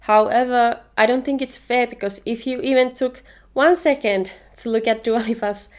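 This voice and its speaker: A woman's voice: on the high side, moderate pace. A young woman from Finland whose first language is Finnish, chatting casually and eagerly about books on her vlog. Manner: enthusiastic, opinionated, chatty. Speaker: book vlogger. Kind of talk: casual book review chat.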